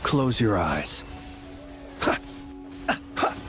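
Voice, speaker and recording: An actor playing a commanding, cool video game fighter, speaking in terse, imperious phrases. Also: male voice, lowish voice, big pitch swings, extremely slow, exaggerated voice. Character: commanding, cool male game combat character. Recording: game audio under loud music.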